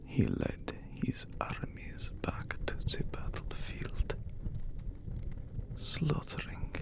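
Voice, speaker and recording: Man acting a slow, whispering vampire count. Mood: grave storytelling. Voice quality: breathy whisper. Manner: slow, solemn, archaic formal phrasing. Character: handsome immortal vampire count, brooding, menacing lord of castle. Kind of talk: ASMR roleplay audio drama.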